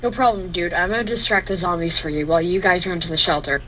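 A woman's voice: on the low side, fast, bright, forceful tone, a little hiss or hum, rough recording. A woman playing a brave, easygoing rescuer in an amateur Minecraft roleplay. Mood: determined.

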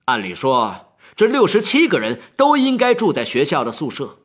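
Man playing indignant official, higher-pitched and animated. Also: moderate pace. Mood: officious. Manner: stern, righteous, pointed. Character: indignant, officious male assistant department head. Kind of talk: audiobook story character.

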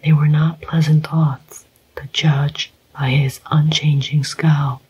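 Whispered ASMR narrator; breathy, slow, monotone, slightly muffled. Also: medium pitch. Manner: slow, even, hushed. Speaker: audiobook reader. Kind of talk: whispered ASMR story reading.

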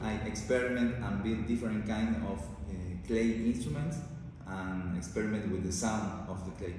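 A man in his thirties with a low voice speaks haltingly, searching for words. He is heard from a distance in a very echoey, reverberant room with noticeable background noise. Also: dark tone. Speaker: ceramic artist. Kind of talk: promotional self-introduction.

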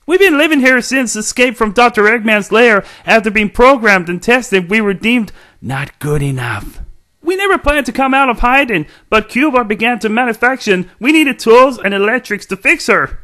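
A man voicing a dim-witted, excitable cartoon robot sidekick, with a goofy, eager, exclamatory delivery. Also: extremely high pitch, normal speed, exaggerated voice. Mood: earnest. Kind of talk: cartoon character voice demo.

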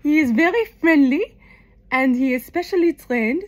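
Silly French doctor clown for kids' skit, played by a woman: high, very animated, apologetic, halting, French accent. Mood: playful, chatty. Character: clumsy, silly French lung doctor clown entertaining children. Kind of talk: improvised children's show skit.

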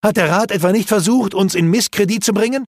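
A man's voice: high voice, quick pace, dry recording, studio quality, pitched above the performer's own voice. A man voicing an agitated, bitter young male apprentice mage.

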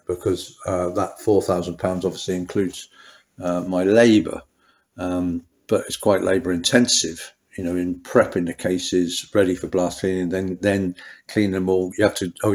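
A man's voice: sits low, a little mellow. A middle-aged man, a motorcycle mechanic, talking through a job in a small workshop, unscripted with lots of restarts and fillers. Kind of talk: workshop walkthrough talk.

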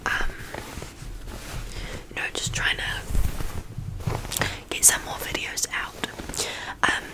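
A woman's voice: slow pace, poor audio. A young woman with a New Zealand accent, whispering a rambling ASMR chat with lots of ums, close to a studio mic in her small bedroom. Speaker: ASMR creator. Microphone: studio mic on stand, close up.

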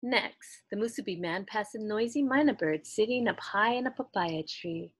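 A woman in her thirties reading a children's story aloud in an expressive, deliberate storytelling style. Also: medium pitch, husky. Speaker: children's book reader. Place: small indoor room lined with books.

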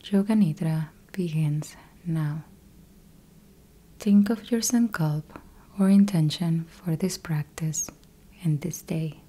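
A woman guiding a yoga nidra meditation in a soft, breathy, husky voice, calm and gentle, leaving long pauses between short instructions. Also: deep voice. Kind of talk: guided meditation, slow instructional.

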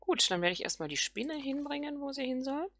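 A woman's voice: high-pitched, expressive, a little fast, a bit bright, no echo. A woman hosting a let's play, thinking aloud casually and murmuring questions to herself as she plays.

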